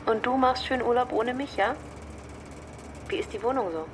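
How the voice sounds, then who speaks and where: A woman's voice: a little high, moderate pace, background noise, lightly acted. An actress playing a lonely, teasing young woman who misses her travelling partner, speaking casually and familiarly in a radio drama. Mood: teasing, stressed.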